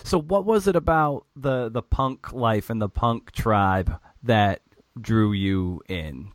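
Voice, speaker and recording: A man's voice: fairly low pitch, animated, no echo. A man hosting a podcast interview, asking long questions with many restarts. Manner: halting, many restarts and fillers. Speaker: podcast host.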